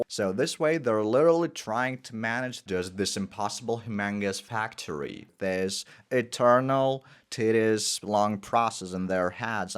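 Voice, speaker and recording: Energetic man. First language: Russian. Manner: energetic, with sudden emphatic pitch jumps. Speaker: English teacher. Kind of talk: teaching monologue.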